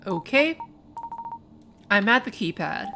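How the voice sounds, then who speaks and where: A woman's voice: mid-range voice, big pitch swings, pushed and bright, a little hiss or hum, heavily acted. Woman reading as a flustered young office worker. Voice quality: raspy. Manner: startled, quick questions.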